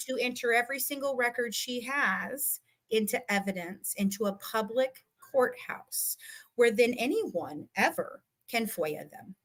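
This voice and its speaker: Woman, sharp highish voice, fired up and combative, casual livestream rant, hammers her points. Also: lively intonation, unhurried, rough recording. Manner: emphatic, combative, repeats phrases for emphasis. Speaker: guest. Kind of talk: heated casual live-stream commentary.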